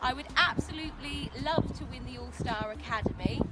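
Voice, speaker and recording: A woman's voice: sits high, brisk, very noisy. Female contestant, 30s, enthusiastic self-intro to camera.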